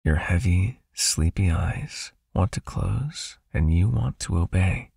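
A man voicing a possessive, soothing vampire hypnotist in an ASMR roleplay, with a hushed, coaxing manner and a raspy, creaky, breathy voice. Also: low-pitched, animated, takes it slowly, no echo, clean studio sound, full character voice. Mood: soothing, commanding. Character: possessive soothing male vampire hypnotist, controlling yet caring.

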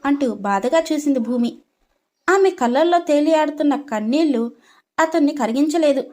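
A woman narrates a scripted story. Her voice is high. She reads as a storyteller, linking the characters' dialogue with description. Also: moderate pace, mellow.

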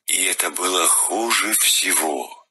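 Deep husky male storyteller. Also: takes it slowly, sharp tone. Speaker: narrator. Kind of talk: scripted fairy tale narration.

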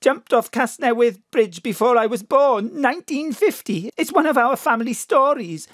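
A woman's voice: on the high side, lively intonation, moderate pace, no echo, clean studio sound. An actress with a Welsh accent playing a doting, plain-spoken middle-aged mother in an audio drama, emphatic and colloquial. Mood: grave, tender.

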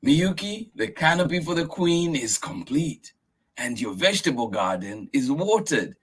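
A man voicing a patient, gentle old grandfather who keeps coaxing a child to bed. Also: a little high, sing-song, measured pace, a little hiss or hum, voice pushed up, rough recording. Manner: patient, repeating himself gently.

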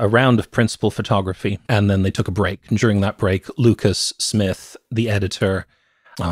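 A man's voice: fairly low pitch, dry recording, clean studio sound. A middle-aged man hosting a movie podcast, telling film history in a conversational, explanatory way. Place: small cluttered home office, indoors. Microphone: close podcast microphone with headphones.

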